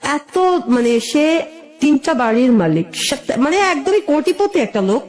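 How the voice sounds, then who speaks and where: A woman's voice: sits high, lively intonation, measured pace, a little mellow. A woman with a Bangladeshi accent telling her own life story as an interview guest, conversational, wistful and admiring.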